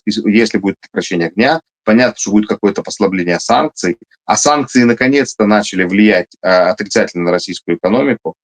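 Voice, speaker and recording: A man with a fairly low voice speaks as an interview guest, his first language Russian. He argues emphatically, asks rhetorical questions and fills pauses with frequent hesitations. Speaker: interview guest, army officer. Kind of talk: conversational political commentary. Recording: online video interview.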